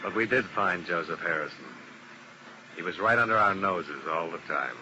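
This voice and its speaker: A man's voice: low voice, moderate pace, close to an everyday voice. A man playing a tough, world-weary insurance investigator in 1950s radio detective style, clipped and terse. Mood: grim, matter-of-fact. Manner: clipped, hard-boiled, terse. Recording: noisy old radio broadcast recording.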